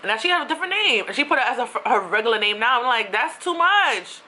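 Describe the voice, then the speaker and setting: A woman's voice: a little high, fast talker, low-quality recording. Chatty young woman from New York. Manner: chatty, animated, with slang and asides. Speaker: YouTuber. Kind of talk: casual ranting vlog.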